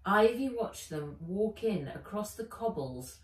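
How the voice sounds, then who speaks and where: A woman narrates a children's storybook in an expressive, dramatic style. She takes her time and varies her pitch widely, in an echoey room a little away from the microphone. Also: unhurried. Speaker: author reading own book aloud. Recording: roomy echo, a little far from mic.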